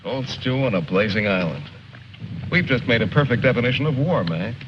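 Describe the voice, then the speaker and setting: A man's voice: medium pitch, expressive, a little fast, close to an everyday voice. An actor playing a wry, articulate male officer leading stranded soldiers, in the manner of a 1950s war film. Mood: wry, detached. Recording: noisy, muffled old film soundtrack.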